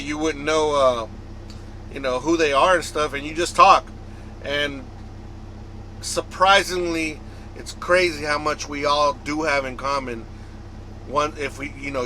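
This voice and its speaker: A man's voice: medium pitch, sharp tone, a little hiss or hum, low-quality recording. A man from the United States, a trucker vlogger, talking casually to his viewers in a rambling, conversational way that circles back and repeats. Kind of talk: casual vlog talk to viewers.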